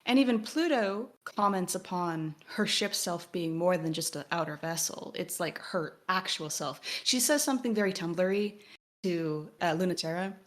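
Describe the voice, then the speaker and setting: Thoughtful woman with a lowish voice. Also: lively intonation, moderate pace. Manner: thoughtful, academic phrasing. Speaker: podcast co-host. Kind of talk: analytical podcast discussion of a video game.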